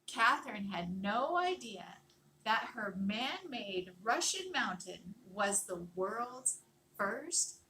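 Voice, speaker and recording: A woman reading a children's picture book aloud in an expressive, dramatic storytelling manner, leaning on key words and drawing them out. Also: mid-range voice, slow, a little hiss or hum, rough recording. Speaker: storybook reader.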